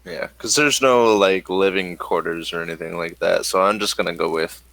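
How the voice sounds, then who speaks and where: A man with a gravelly voice narrating casually as the game master of a tabletop game. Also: low-pitched, normal speed, fairly bright tone.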